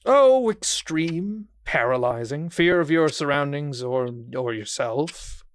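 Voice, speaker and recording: A smug, swooping, slow-talking psychiatrist. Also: male voice, on the high side, lively intonation, a little hiss or hum. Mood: patronizing. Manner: smug, drawn-out, mock-polite. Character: condescending, falsely cheerful male psychiatrist in horror drama. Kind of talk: theatrical audio drama acting.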